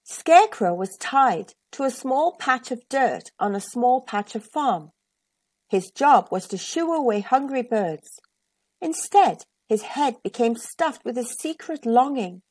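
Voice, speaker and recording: A woman with a high voice narrates a children's storybook. She reads slowly and expressively, with lots of melodic rise and fall. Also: animated, a little mellow, slightly rough. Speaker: children's storytime host and reader.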